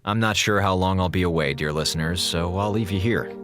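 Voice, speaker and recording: An actor playing an excitable, sarcastic comms officer on a space station in an audio drama, rambling and melodramatic. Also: male voice, deep voice, fairly quick, over music, lightly acted. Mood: weary, rueful. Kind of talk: modern audio drama in Golden Age radio style.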